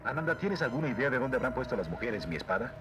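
Guy doing a heroic young cartoon prince, latin american spanish, earnest, lots of pitch swing, loud score behind. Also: sing-song, racing, natural voice. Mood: determined. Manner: urgent, emphatic. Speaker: voice actor in a dubbed cartoon. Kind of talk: dramatic cartoon dub acting. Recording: dubbed cartoon with loud music underneath.

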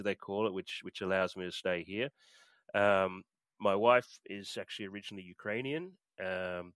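A man's voice: lowish voice, fairly bright tone, no echo. A middle-aged Australian man chatting as a podcast guest, hesitant with plenty of fillers and restarts. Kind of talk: casual interview chat.

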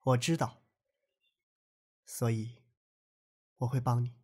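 A man playing an earnest, selfless young man in an audio drama, speaking softly and sincerely. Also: medium pitch, fairly even intonation, takes it slowly, husky. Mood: sad, determined.

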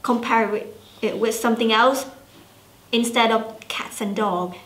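A woman's voice: fairly high pitch, steady tone, unhurried, fairly bright tone, echoey room. A woman from Thailand, presenting casually with many hesitations and fillers. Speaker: student presenter.

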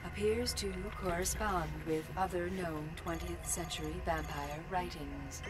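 A woman's voice: fairly low pitch, steady tone, unhurried, over music, close to an everyday voice. A woman voicing an impassive dispatch computer, giving clipped, impersonal commands in an audio drama game.

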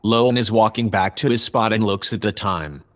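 A calm shipboard observer played by a man narrates a sci-fi audio drama. His voice sits on the low side. He describes everything in measured, matter-of-fact detail. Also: lightly acted. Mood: calm, factual. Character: male narrating observer calmly reporting aboard a spaceship.

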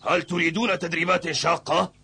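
Cryptic old kung fu master for a cartoon dub, gravelly and husky. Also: male voice, on the high side, steady tone, fast, bright, forceful tone, heavily acted. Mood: teasing. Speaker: kung fu coach.

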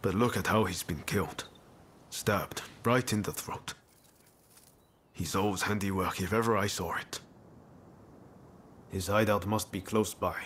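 An actor playing an earnest, loyal young samurai in a video game cutscene, speaking reflectively and with resolve. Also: male voice, fairly low pitch, a bit bright, slight background noise. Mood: urgent, resolute.

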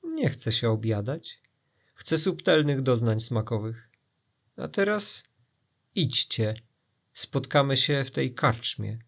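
A man's voice: medium pitch, sing-song, slow pace, vocal fry, pauses often, a bit bright, heavily acted. A man voicing a demanding, theatrical fake genie who speaks with grand confidence and mock indignation in a storybook tale. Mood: lofty.

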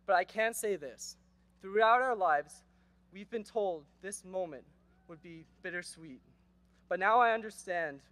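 Teenage boy, high voice, dry close sound, earnest speech with wry jokes, podium mic outdoors. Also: a bit bright, no room sound. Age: teens. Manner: earnest, rhetorical, with wry asides. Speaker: graduation speaker. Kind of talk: prepared commencement speech. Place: outdoor stadium stage. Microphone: podium microphone.

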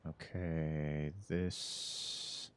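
A man's voice: extremely low pitch, little pitch movement, extremely slow, slight background noise. A man in his thirties giving rambling, self-mocking commentary while streaming a horror game from his home setup. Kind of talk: casual gameplay commentary.

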